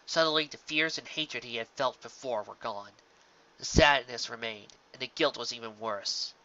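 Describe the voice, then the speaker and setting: Bright-voiced amateur fiction reader, lo-fi recording. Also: medium pitch, normal speed. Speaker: fan fiction reader and reviewer. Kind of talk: dramatic story reading and informal commentary. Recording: dull lo-fi sound.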